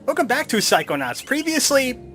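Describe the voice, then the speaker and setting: Man, let's player, high voice, animated pitch, casual commentary, faint music. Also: a little fast.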